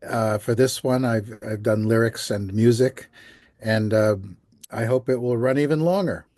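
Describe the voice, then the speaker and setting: A man's voice: medium pitch, a little slow, darkish tone, no room sound, studio quality. An older man answering interview questions as a composer, telling stories in a chatty way. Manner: chatty storytelling with light laughs. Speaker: guest, composer and lyricist.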